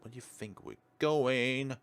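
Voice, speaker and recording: A man reading a visual novel aloud as a let's player, in an expressive narrating style. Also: mid-range voice, unhurried, clean tone. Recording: game music behind the voice.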